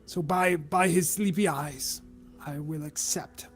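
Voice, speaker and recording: A man's voice: a little high, steady tone, takes it slowly, breathy edge, faint music behind. A man voicing an imperious cult leader with a pompous, formal way of speaking. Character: imperious holy cult leader demanding tribute.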